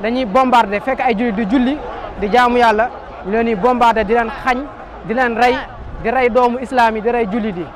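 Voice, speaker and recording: A man's voice: very high voice, steady tone, a little fast. A man speaking with insistent passion in a street interview at a rally. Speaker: rally participant. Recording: crowd and street noise, outdoor rally.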